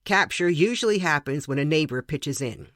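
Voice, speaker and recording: A man's voice: a little high, animated, normal speed, a bit bright, dry recording, studio quality. A man reading a humorous personal story aloud as scripted narration. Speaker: reader of short stories for a podcast.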